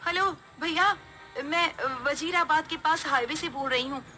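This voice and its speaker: A woman voicing a distressed, pleading mother stranded at night, in an animated horror story. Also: high-pitched, a little slow, faint music behind, pitched above the performer's own voice. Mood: urgent pleading.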